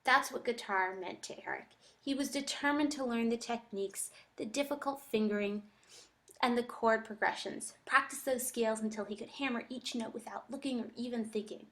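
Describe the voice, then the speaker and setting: A woman's voice: on the high side, normal speed, fairly bright tone. Woman teacher thinking aloud while reading. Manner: reflective, talks thoughts through step by step while annotating.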